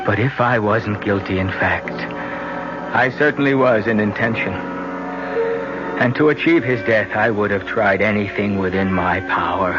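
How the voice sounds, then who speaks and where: A man's voice: on the low side, measured pace, loud music behind the voice, close to an everyday voice. An actor playing a guilt-ridden young son who narrates his story tensely in a 1970s radio drama. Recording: muffled 1970s radio broadcast.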